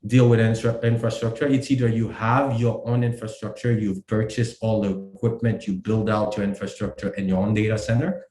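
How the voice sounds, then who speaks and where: Lowish voiced guy from haiti, lots of uhs and restarts, echoey room. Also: level tone, mellow. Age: adult. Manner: halting, many fillers and restarts. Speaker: guest, company co-founder. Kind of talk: casual interview talk.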